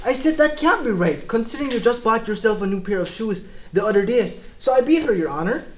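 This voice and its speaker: Man acting as cocky excuse-making husband, high voice, colloquial, defensive, courtroom drama, noisy recording. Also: lively intonation, a little fast. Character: swaggering, excuse-making abusive husband, self-pitying family man.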